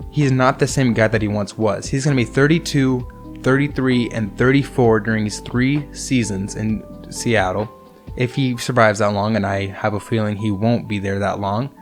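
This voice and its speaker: A hoarse young man, getting over a sickness, hosting a casual, opinionated monologue about sports signings. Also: medium pitch. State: hoarse from illness. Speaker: host. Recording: faint music underneath. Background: soft background music.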